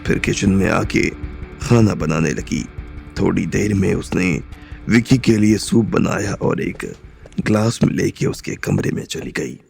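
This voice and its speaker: A man narrates a scripted audio story in a low, gravelly voice. His delivery is very unhurried and measured, like an audiobook reader telling a tale. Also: darkish tone, faint music behind.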